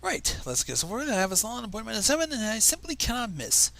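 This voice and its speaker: A man's voice: high voice, huge pitch swings, fast talker, vocal fry, pushed and bright, pitched above the performer's own voice, full character voice. A man voicing a prim, theatrical female cartoon pony with a fussy, affected, genteel manner. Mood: brisk, prim.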